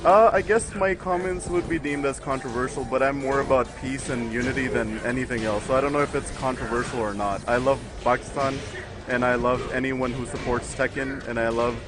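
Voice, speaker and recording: Young male guest commentator, rambling and laughing, mid pitch, loud game music underneath. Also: steady tone, a little fast. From United States. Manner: rambling, laughs often, many fillers. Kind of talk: casual chat with stream chat.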